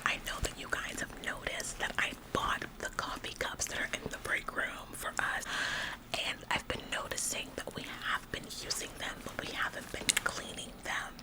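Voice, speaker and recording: A woman's voice: moderate pace, lightly acted, low-quality recording. A woman in a whispered ASMR roleplay, playing an overeager, officious office employee who acts like the boss, chirpy, fussy and politely bossy.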